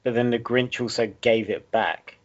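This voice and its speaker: A man's voice: mid-range voice, steady tone, normal speed. A man with an Essex accent hosting a casual podcast chat, rambling and arguing his points. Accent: Essex English. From Essex, England. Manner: rambling, argumentative, self-correcting. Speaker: podcast host. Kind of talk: casual banter. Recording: dull lo-fi sound.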